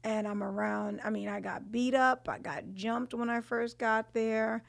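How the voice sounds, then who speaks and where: A woman in her thirties with a thick Trinidadian Brooklyn accent. She has a strongly gravelly, fry-laden voice and tells her story in a lively, candid way. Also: fairly high pitch, a little slow. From Brooklyn, New York. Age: 30s. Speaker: guest. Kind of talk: casual chat.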